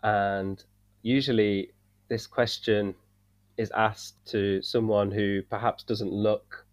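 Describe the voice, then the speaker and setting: A man from the UK, a podcast guest, talking in an earnest, measured way and searching for words at times. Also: lowish voice, steady tone, no echo. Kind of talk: casual discussion. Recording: dull dry sound.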